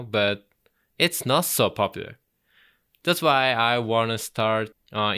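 A young man in his twenties with a Japanese accent hosts a music radio show, his voice bright and crisp. He talks in an earnest, unhurried way with fillers and restarts, like a non-native speaker finding his words. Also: mid-range voice. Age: 20s. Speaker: radio host. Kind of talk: casual radio show introduction.

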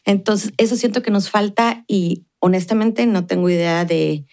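A woman's voice: mid-range voice, normal speed, darkish tone. A middle-aged woman from the border region, a podcast guest talking earnestly and reflectively, with many fillers. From Mexican border region. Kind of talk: casual conversation.

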